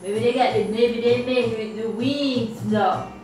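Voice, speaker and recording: A woman's voice: on the high side, measured pace. A woman with a Jamaican accent co-hosting a home cooking video, matter-of-fact and affectionate. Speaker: cooking show co-host. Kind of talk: casual home cooking demo. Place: home kitchen, indoors. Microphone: far camera. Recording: echoey kitchen, far mic, music behind.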